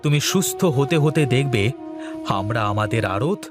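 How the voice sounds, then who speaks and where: A man's voice: medium pitch, lively intonation, normal speed, lightly acted. A man voicing an earnest, reassuring younger brother who works as a village trader, in a cartoon drama. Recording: music behind the voice.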